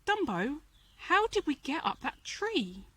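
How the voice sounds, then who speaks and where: Lady doing a plucky lil boy mouse from a storybook, high voice, super eager pep talk. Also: big pitch swings, a little slow, fairly bright tone, full character voice. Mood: startled, puzzled. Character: plucky, excitable little male circus mouse, loyal friend.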